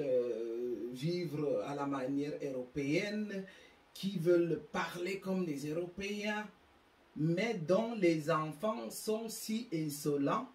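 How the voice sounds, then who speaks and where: Slow, preachy woman with African French accent. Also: on the low side, darkish tone, roomy sound, far from the mic, poor audio. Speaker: YouTube channel host. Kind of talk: moralizing monologue to camera.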